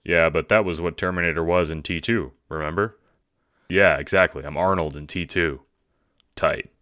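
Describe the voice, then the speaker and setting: A man voicing a laid-back, sarcastic teenage boy in an audio drama, deadpan and slangy. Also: deep voice, moderate pace, dry recording, clean studio sound.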